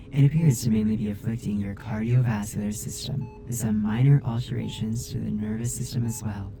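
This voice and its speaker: A man's voice: medium pitch, close to an everyday voice. Man voicing a caring, formal robot caretaker over music. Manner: formal, precise, measured wording. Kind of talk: ASMR roleplay audio drama.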